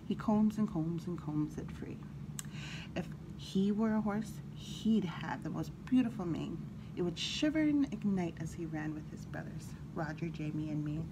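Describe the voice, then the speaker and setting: A woman storyteller reading a children's picture book aloud in an animated, expressive way, with brief asides. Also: on the low side, normal speed. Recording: clearly audible background noise.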